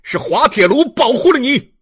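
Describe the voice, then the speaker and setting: A man performs a passionate young nobleman in an audiobook, full of indignation. The voice is very husky and leaps dramatically in pitch, with forceful, emphatic, declamatory phrasing. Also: sing-song, moderate pace, heavily acted. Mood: furious, scornful. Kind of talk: audiobook character acting.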